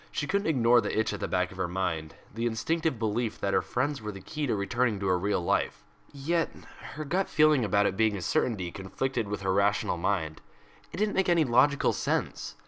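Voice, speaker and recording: Low, bright-voiced man narrating a story. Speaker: fan fiction reader and host.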